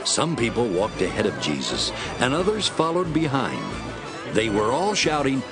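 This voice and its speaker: A man's voice: mid-range voice, wildly swooping pitch, normal speed, music in the background. A man narrating scripture as a scripted dramatic story.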